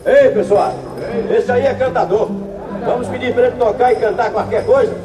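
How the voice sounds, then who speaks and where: A rowdy, teasing bar regular from a 1950s radio western. His high voice echoes in the room over music and a clear crowd. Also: moderate pace. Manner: familiar, goading. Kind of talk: 1950s radio serial acting.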